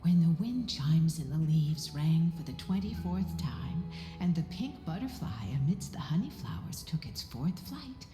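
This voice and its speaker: A woman voicing an elderly, forgetful fairy chief in a video game, speaking ceremoniously in a breathy, solemn storybook manner. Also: low-pitched, big pitch swings, mellow. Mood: solemn, welcoming. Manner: slow, solemn, storybook phrasing. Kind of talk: video game character acting. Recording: echoey hall reverb with faint music.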